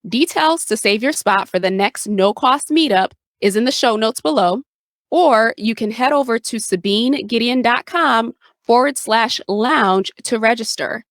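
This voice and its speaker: A woman's voice: fairly high pitch, a little slow, no room sound, clean studio sound. A woman hosting a podcast, speaking in an effusive, motivational manner. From Haiti. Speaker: podcast host. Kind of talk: podcast intro and interview hosting.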